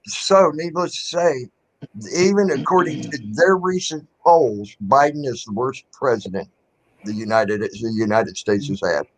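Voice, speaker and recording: A man's voice: mid-range voice, a little slow, poor audio. A man hosting a casual livestream chat, rambling and swearing freely as he airs strong opinions.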